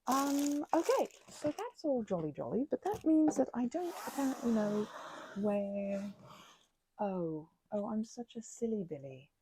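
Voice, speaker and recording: A woman talks her way through a crafting session at home, her voice mellow with a gravelly edge. She drifts from hushed whispers to animated, higher bursts and pokes fun at herself. A faint hiss sits under the recording. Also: measured pace, poor audio. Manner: chatty, self-deprecating, drifting between whispers and bursts of talk. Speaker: crafting ASMR creator. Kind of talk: rambling craft chat while working.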